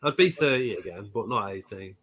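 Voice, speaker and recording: A man's voice: on the low side, animated, fairly quick, fairly bright tone, a little hiss or hum. Joking man in his forties. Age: 40s. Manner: jokey, swears casually. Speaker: live stream host. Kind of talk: casual chat, reading out viewer comments.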